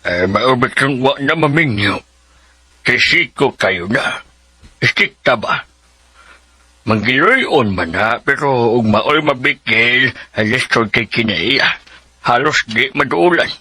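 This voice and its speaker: Radio drama: a teasing older Cebuano dad, husky, forceful, closely recorded. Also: male voice, medium pitch, animated, slow pace, no room sound, heavily acted. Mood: cautioning. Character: teasing older father gossiping about his sister-in-law.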